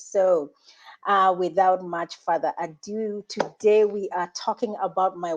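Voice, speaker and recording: A woman's voice: fairly low pitch, a little mellow. A woman hosting a talk show, interviewing her guest in an effusive, enthusiastic, conversational way.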